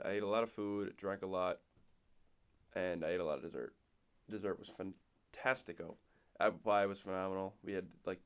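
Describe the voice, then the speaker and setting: A young man, hoarse and nasal from a cold, co-hosting a casual podcast and rattling off game stats. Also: sits low. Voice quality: raspy, nasal. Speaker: podcast co-host. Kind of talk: casual sports chat.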